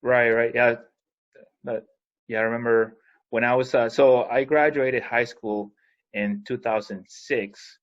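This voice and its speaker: A man's voice: medium pitch, fairly even intonation. Chatty man host, dull recording. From Peru. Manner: chatty, rambling, quick asides. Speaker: podcast host. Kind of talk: casual interview talk.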